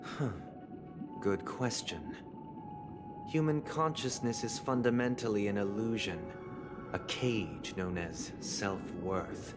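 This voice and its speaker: Slow, low-voiced solemn visionary. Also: male voice. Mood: calm, lofty. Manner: measured, grandiose, oratorical. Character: composed, idealistic male visionary preaching order, solemn and condescending. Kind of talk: dramatic game voice acting, philosophical monologue. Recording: studio voice under background music. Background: game music.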